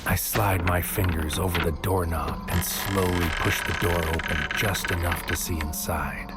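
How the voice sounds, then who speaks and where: Brooding, low-voiced investigator narrator over music. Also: male voice, steady tone. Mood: brooding, tense. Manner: brooding, literary, measured. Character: wary noir-like mind-infiltrating investigator narrating his mission, male. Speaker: narrator and lead character. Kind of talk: first-person scripted audio drama narration, present tense.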